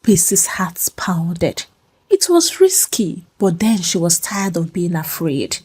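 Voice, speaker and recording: A woman's voice: fairly low pitch, very animated, takes it slowly, a little mellow, breathy edge. A woman telling a story as a dramatic scripted narration.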